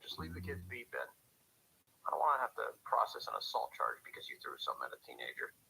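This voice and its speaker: An actor playing a dutiful small-town night-shift police officer in a radio drama, dry, measured and understated over a radio. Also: male voice, a bass voice, big pitch swings, gravelly, pushed and bright, a little hiss or hum, heavily acted, low-quality recording. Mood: dry, patient. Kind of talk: radio drama, scripted log narration and calls.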